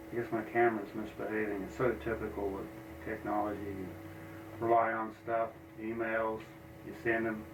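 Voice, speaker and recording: A man with a mixed New Zealand and American accent, an engine builder talking plainly in a shop vlog, with many ums and uhs. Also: lowish voice. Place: office. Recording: echoey room, noisy camera sound with breakup.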